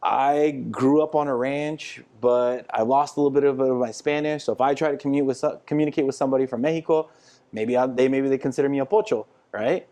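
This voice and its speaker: A man's voice: medium pitch, steady tone, a little fast, mellow. A young man hosting a podcast in casual chat, talkative and eager, encouraging his guest as he listens. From San Diego, California. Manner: chatty, enthusiastic, encouraging listener. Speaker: podcast host.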